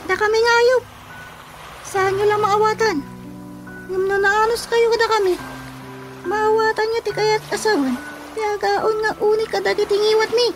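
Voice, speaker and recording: A woman's voice: extremely high pitch, level tone, normal speed, pauses often, music in the background. A woman voicing a cheeky talking pet dog that demands respect, speaking emphatically in a radio comedy drama. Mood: insistent.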